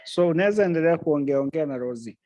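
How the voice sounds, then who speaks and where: A man's voice: fairly high pitch, lively intonation, very fast, a little mellow, dry recording. A man teaching Swahili over a video call. He explains things patiently, repeats each point in English, and laughs easily.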